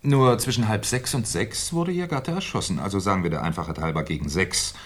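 A man's voice: on the low side, brisk, lightly acted. An actor playing a shrewd, politely ironic police commissioner in a 1970s German radio crime drama, musing and probing as he talks. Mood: puzzled, deliberate. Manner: musing, hesitant, slyly polite questioning.

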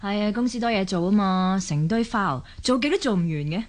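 A woman's voice: mid-range voice, animated, fairly quick, lightly acted. A woman acts an overworked mother in a Cantonese radio drama. She speaks with a Hong Kong accent in a mid-range voice, weary and prone to sighing complaint. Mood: weary, complaining. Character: tired, overworked working mother and wife.

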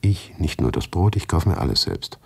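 A man acts an evasive, hungry writer in a radio play. His male voice is gravelly and husky, and his manner is polite and formal. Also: very deep voice, very animated, normal speed, a little mellow, heavily acted. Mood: polite, forward.

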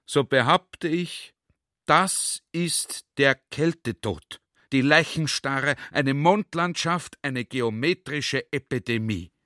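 A man's voice: medium pitch, takes it slowly, sharp tone, no echo. A garrulous army general, holds forth to a comrade in a radio play. He is earnest and conversational, full of rhetorical questions, in a very dry, close recording. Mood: insistent, lecturing. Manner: conversational, rhetorical, checks listener is following.

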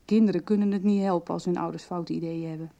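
A woman's voice: lowish voice, fairly even intonation, fast talker, vocal fry, warm tone, highs cut off. A woman playing a helpful woman in a Dutch radio soap. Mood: kindly, businesslike. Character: helpful woman handing out research documents. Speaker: radio drama actor.

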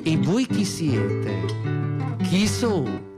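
A man playing an earthy, feisty old Southern Italian woman who tells her memories in expressive Neapolitan dialect, in a theatrical radio monologue. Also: a little high, drawn-out words, full character voice. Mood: indignant, confrontational. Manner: expressive, colloquial dialect storytelling. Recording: prominent acoustic guitar music behind.

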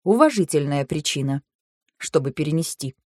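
A woman voicing a soft-spoken calm young man. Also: low-pitched, normal speed, dry recording, studio quality, pitched below the performer's own voice. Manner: mild, soft. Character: calm gentle male mage, soft-spoken.

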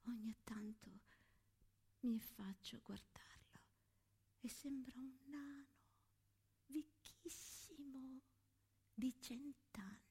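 A woman playing a tender, grieving young wartime woman who confides in her diary in a breathy, hushed voice. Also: sits high, heavily acted. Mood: sorrowful, tender. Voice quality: breathy, half-whispered. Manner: hushed, slow, intimate. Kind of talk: theatrical monologue set to music. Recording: stage monologue with music behind.

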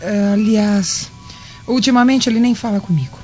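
Dark-toned, bitter Roman wife played by actress. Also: female voice, medium pitch, moderate pace, natural voice. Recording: dull audio, music behind.

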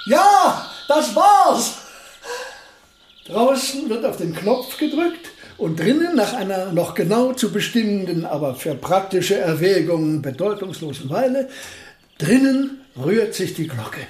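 An older actor in a radio play, playing an eccentric, excitable elderly male physicist with formal, pedantic, courteous phrasing. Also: high voice, a little slow. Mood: pedantic, self-satisfied. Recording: roomy echo, faint noise.

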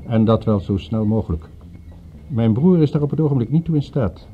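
An actor in a Dutch radio play voicing a middle-aged father and businessman, formal, calculating and deliberate in manner. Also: medium pitch, lively intonation, lightly acted. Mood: grave, businesslike. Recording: tinny narrow-band old radio, faint music.

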